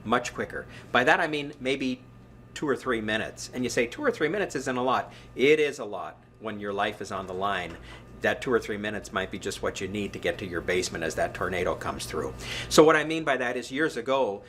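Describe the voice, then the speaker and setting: Bright-voiced male meteorologist explaining. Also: fairly low pitch, expressive, a little fast, slight background noise. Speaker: weather service meteorologist. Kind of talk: explanatory interview talk.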